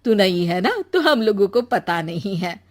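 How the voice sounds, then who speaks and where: A woman's voice: mid-range voice, big pitch swings, moderate pace, natural voice. A woman voicing a kindly, teasing elderly mother-in-law who speaks in a plain, motherly way in a family story. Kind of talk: acted Hindi family moral story.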